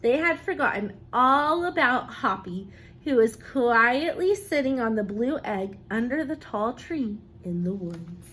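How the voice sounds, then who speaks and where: A woman reads a children's picture book aloud in the manner of an encouraging teacher. Her voice sits a little high and she takes her time, speaking expressively to young listeners. There is some noise behind her. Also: animated, a bit bright, poor audio. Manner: encouraging, pausing to ask and praise as a teacher does.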